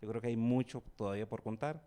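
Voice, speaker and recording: A man's voice: medium pitch, fast, warm tone. A man with a Costa Rican Spanish accent co-hosting a casual talk show, dropping frequent fillers. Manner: conversational, fills with "o sea". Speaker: co-host. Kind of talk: casual podcast chat.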